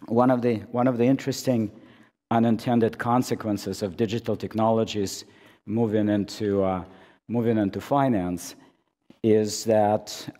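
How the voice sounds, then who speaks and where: A hoarse man who is losing his voice gives an academic conference talk, halting with many fillers and restarts, amplified through a microphone. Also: on the low side, dark tone. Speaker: conference speaker. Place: lecture hall. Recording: reverberant lecture hall.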